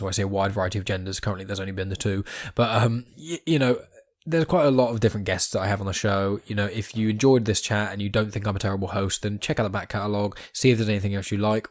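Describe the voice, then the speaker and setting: Fast-talking, low-voiced man hosting a podcast. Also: no room sound. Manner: rambling, chatty, self-deprecating asides. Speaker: podcast host. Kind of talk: casual unscripted podcast outro.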